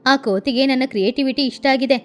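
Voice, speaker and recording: A woman's voice: high-pitched, fast talker, lightly acted. A woman voicing a fussy, theatrical adult female crow aunt in a cartoon, who wails and talks her way out of trouble. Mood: defensive. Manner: theatrical, wailing and self-justifying.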